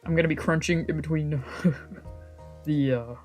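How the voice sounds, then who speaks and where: Low-voiced woman chatting casually on stream. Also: a little slow, a little mellow, faint music behind. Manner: informal, sweary, self-deprecating, playful. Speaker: VTuber streamer playing a visual novel. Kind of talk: casual rambling livestream chat. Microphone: old, cheap microphone.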